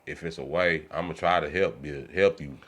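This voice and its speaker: A man's voice: a bass voice, brisk, fairly bright tone. A man sharing his view informally as a panelist in a casual podcast conversation. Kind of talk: casual opinion chat. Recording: faint background noise.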